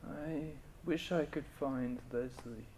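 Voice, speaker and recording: A man in an amateur stage play acting a grieving, guilt-ridden old general with a halting, brooding delivery. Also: medium pitch, dark tone, slight background noise, natural voice, low-quality recording. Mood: brooding, resigned.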